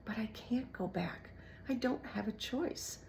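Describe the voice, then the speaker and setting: Lady doing a little scared brave storybook mouse guy, slow, kinda creaky. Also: a little high, lively intonation, darkish tone, a little hiss or hum. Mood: fearful, resolute. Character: small frightened, determined brave young male mouse.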